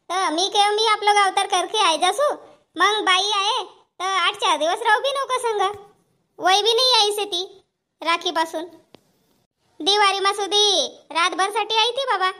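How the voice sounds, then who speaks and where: A woman's voice: extremely high pitch, bright, forceful tone. A woman voicing a complaining village housewife in a cartoon comedy, chattering and scolding with a Powari accent. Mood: chatty, persuading.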